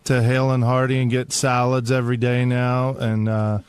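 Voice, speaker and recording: A man's voice: medium pitch, level tone, normal speed, clean tone, dry recording. A man talking casually as a guest in an informal radio chat. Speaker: show staff member and drummer being interviewed.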